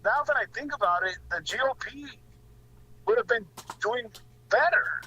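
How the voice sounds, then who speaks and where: A man giving casual political commentary as a guest, blunt and profane, halting and repeating phrases, over lo-fi call audio. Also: very high voice, lively intonation, bright, forceful tone.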